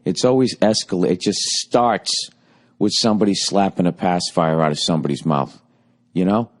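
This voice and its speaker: Boston guy in his 40s ranting on his podcast, swearing a ton, sarcastic, lowish voice going kinda hoarse. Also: normal speed. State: voice getting hoarse. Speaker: podcast host. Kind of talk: rambling comic monologue.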